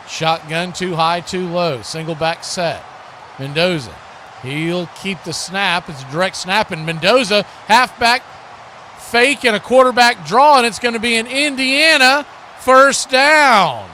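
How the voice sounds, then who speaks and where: A man's voice: high-pitched, slow pace, bright, forceful tone, a little hiss or hum. An American man giving live play-by-play football commentary as host, in short, clipped present-tense calls.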